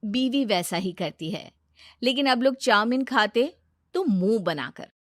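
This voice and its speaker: A woman's voice: fairly high pitch, animated, unhurried, no room sound, studio quality. A woman reading scripted narration for a children's moral story as the storyteller.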